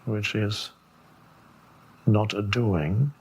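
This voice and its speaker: Male spiritual teacher for a meditation talk: somewhat deep, breathy-rough tone, reflective delivery, lingering pauses, gentle questioning. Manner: contemplative, halting with long pauses, gently questioning.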